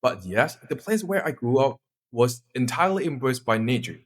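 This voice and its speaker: A man's voice: mid-range voice, big pitch swings, moderate pace, a bit bright, husky. A twenty-two-year-old man with a Thai accent, presenting a scripted class talk in a friendly way. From Thailand. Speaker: student presenter.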